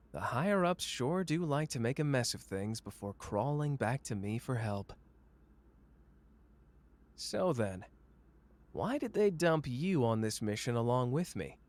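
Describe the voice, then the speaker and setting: A man's voice: medium pitch, close to an everyday voice. A man playing a cocky, flamboyant young sorcerer who teases the listener in a playful, smug roleplay audio drama. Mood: casual, dry. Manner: playful, smug, drawling teasing.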